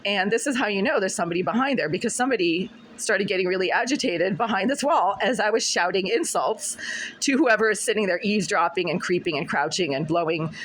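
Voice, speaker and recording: A middle-aged woman with a scratchy, husky voice, talking insistently and repetitively to a phone camera in her apartment. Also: medium pitch, fast talker, a bit bright. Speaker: vlogger. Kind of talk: monologue to camera. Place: apartment room.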